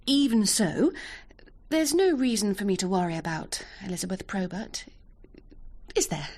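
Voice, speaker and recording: Actress as stern, proud English wife. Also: female voice, medium pitch, moderate pace, darkish tone, natural voice. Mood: stiff, conciliatory. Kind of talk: radio drama acting.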